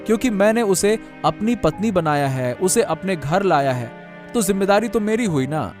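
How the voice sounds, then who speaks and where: A man's voice: high voice, animated, moderate pace, darkish tone, faint music behind. A man voicing an earnest, devoted young husband in a cartoon, speaking emphatically and repeating himself. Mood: defiant, exasperated. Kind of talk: Hindi cartoon dialogue acting.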